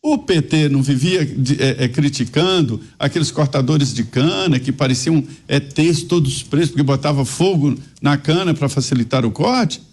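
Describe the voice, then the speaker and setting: Man, political commentator, emphatic, argumentative, slightly high voice. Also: normal speed, few pauses, a little mellow. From Minas Gerais, Brazil. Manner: emphatic, argumentative, rambling asides. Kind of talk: panel debate commentary.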